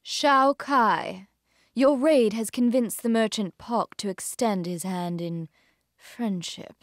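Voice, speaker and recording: An actress playing a poised, dangerous female pirate adviser in a video game, speaking in a cool, measured, formal manner. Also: on the high side, dry recording, clean studio sound. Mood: silky, commanding. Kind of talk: video game cutscene acting.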